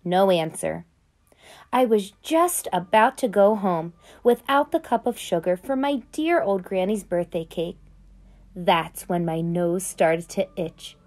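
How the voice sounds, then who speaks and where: A woman voicing a sly, smooth-talking male wolf who tells his side of the story in a chatty, conspiratorial way, read aloud from a children's picture book. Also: medium pitch, expressive, slow, a little mellow.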